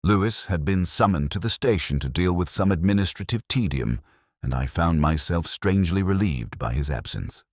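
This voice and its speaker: A man playing a brooding, erudite middle-aged police inspector, narrating a detective audio drama in a measured, literary, ruminative way. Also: low-pitched, expressive, dry recording, clean studio sound. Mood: brooding, uneasy.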